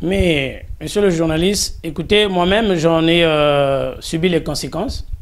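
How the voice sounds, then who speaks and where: High-pitched Congolese man, formal and emphatic. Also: measured pace. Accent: Congolese French. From Congo-Brazzaville. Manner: formal, emphatic, repeats phrases for rhetorical effect. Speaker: interviewee, diaspora spokesman. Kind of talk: political interview answers.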